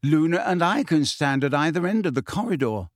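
A man voicing a bold, quick teenage witch. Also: fairly high pitch, expressive, no room sound, clean studio sound, natural voice. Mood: cool. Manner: prompt, cool, confident.

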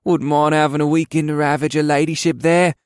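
A man's voice: fairly high pitch, little pitch movement, a little fast, clean tone, no room sound, studio quality, voice pushed up, natural voice. A man voicing crude, cocky young male elf soldiers who grumble and sneer, in a fantasy audiobook. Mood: lewd smirking.

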